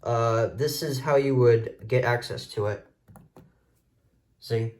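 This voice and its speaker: Guy doing a rambly casual show and tell on his phone, kinda hesitant, average pitch. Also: slow pace, darkish tone. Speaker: video host showing a radio collection. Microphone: phone camera mic, handheld.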